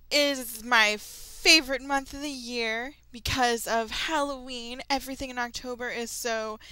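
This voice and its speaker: A woman hosting her channel, chatting casually and excitedly to her viewers in an informal audio update. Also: sits high, smooth, dry recording. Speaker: creepypasta narrator and channel host.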